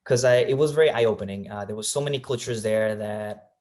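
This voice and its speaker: Interview guest: young man from Colombia, lowish voice, reflective, lots of ums. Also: fairly quick, a little mellow. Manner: thoughtful, many fillers and restarts. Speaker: guest, real estate agent. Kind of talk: casual interview answers.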